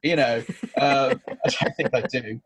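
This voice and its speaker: A man's voice: medium pitch, steady tone, unhurried, lo-fi sound. A man from Birmingham with a Brummie accent, co-hosting a podcast in a chatty, laid-back way with plenty of fillers. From Birmingham, England. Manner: chatty, laughs easily, hesitant with fillers. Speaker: podcast co-host. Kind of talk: casual podcast chat.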